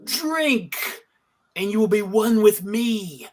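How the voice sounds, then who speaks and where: A woman's voice: mid-range voice, animated, takes it slowly. A woman voicing an imperious, seductive and menacing evil male god in a live fantasy table read. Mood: sinister coaxing.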